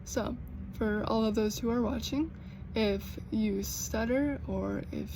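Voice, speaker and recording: A young American woman who stutters, with repeated sounds and blocks, talking slowly and earnestly in a personal vlog. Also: on the high side, background noise. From United States. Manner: slow, earnest, gently encouraging. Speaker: vlogger.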